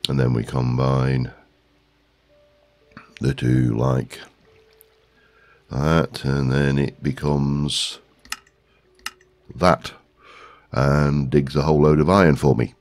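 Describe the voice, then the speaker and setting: A man's voice: a bass voice, level tone, takes it slowly, pauses often, darkish tone. A man with a stuffy head cold, hosting a gaming video with casual, rambling commentary and lots of ums. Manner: rambling, talks to himself while searching, many ums.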